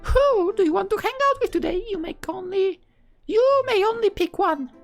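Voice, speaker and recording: A woman voicing an earnest high school girl from a visual novel, in an airy anime style. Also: expressive, normal speed, soft background music. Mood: brisk, cheerful.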